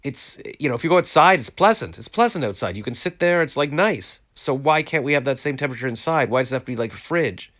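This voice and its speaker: A man's voice: mid-range voice, lively intonation, fast talker, few pauses, fairly bright tone. A congested man chatting casually to viewers on a phone live stream, frustrated and rambling. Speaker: vlogger hosting a live stream. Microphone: phone, live stream from home.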